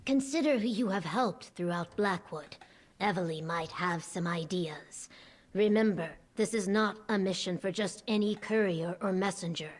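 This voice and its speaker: A woman voicing a steadfast female lizard-folk legion commander in a video game, measured, solemn and formal. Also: mid-range voice, close to an everyday voice. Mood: grave, resolute. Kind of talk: video game character acting.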